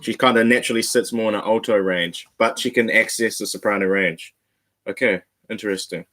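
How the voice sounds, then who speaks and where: A man's voice: on the low side, fairly even intonation, a little fast, bright, forceful tone, slight background noise. A man hosting a reaction video, talking straight to his viewers in a laid-back, slangy way. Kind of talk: casual reaction commentary.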